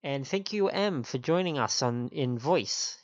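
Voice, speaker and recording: Sniffly man streaming, slightly low-pitched and dull-sounding. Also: animated, measured pace. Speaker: game livestream host. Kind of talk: casual stream chat. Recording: dull lo-fi stream audio, faint music.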